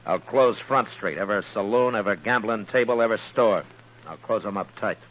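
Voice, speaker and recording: An actor playing a stern, principled frontier marshal in a 1950s radio western, speaking firmly and tersely. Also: male voice, fairly low pitch, fairly quick, fairly bright tone, close to an everyday voice. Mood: quiet threat. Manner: firm, terse, authoritative. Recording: dull, noisy old radio recording.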